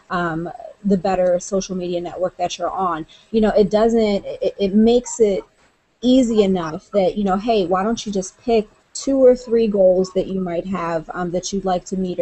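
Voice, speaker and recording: A woman's voice: mid-range voice, a little mellow, rough recording. A woman who works at a college, chatting earnestly about a social media guide, with frequent fillers like "you know" and "um". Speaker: college staff member, guest. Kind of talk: conversational discussion.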